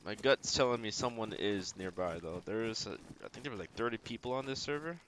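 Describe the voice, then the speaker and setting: A man giving casual, low-key video game commentary, thinking aloud as he plays. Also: a little fast, a bit bright, slight background noise. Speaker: game streamer.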